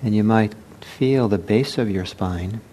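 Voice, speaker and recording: A man leading a guided meditation as a teacher, speaking gently and unhurriedly with halting pauses. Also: low-quality recording. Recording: low rumbling background noise.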